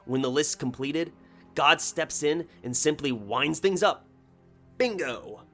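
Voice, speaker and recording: Storybook engineer, acted by a man: anxious, excitable, emphatic, raised pitch, music behind. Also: takes it slowly, heavily acted. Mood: excited. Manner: agitated, emphatic. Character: anxious, excitable younger male engineer breaking urgent news. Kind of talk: short story character dialogue.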